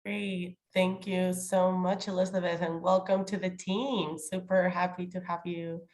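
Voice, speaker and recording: A woman's voice: fairly low pitch, little pitch movement, a little slow, a little mellow. A woman chairing an online committee meeting in a formal, welcoming role, slightly nasal as she gets over a cold. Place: remote video call.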